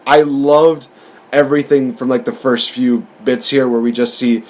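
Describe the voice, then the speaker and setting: A man's voice: mid-range voice, fairly even intonation, normal speed, darkish tone. A man hosting a casual movie review, rambling through it with enthusiasm. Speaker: podcast host and film reviewer.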